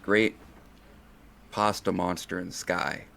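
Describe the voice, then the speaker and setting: A young man chatting as a podcast guest, joking in a deadpan, mock-serious way, with some noise on the recording. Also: deep voice, slow pace, creaky. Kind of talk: casual joking chat.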